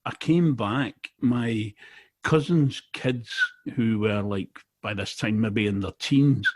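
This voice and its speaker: A man's voice: lowish voice, takes it slowly, slightly rough. A middle-aged man from Glasgow with a Glaswegian Scottish accent, a native Scots speaker, chatting casually as a podcast interviewer. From Glasgow, Scotland.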